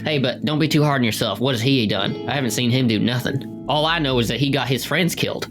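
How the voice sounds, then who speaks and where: A man's voice: mid-range voice, fast talker, music in the background, close to an everyday voice. Man playing a hapless, stammering small-time crook. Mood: conspiratorial. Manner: stammering, self-justifying, rambling.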